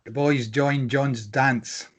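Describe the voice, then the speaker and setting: A man's voice: medium pitch, steady tone, takes it slowly, a little hiss or hum. A man giving scripted narration, reading out scene headings and stage directions for a drama.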